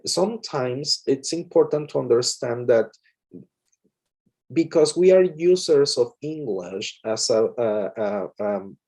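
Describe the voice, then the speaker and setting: A man's voice: medium pitch, animated, takes it slowly, darkish tone. A man from Mexico, a lecturer, talking with a colleague in a hesitant way full of fillers and restarts. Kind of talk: conversation with a colleague.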